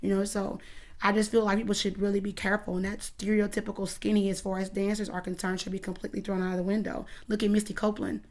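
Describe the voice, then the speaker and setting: A woman's voice: medium pitch, flat delivery, quick pace, darkish tone. Woman in her 30s, dance coach, talks earnest and firm about her girls. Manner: earnest, emphatic, protective. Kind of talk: interview answers.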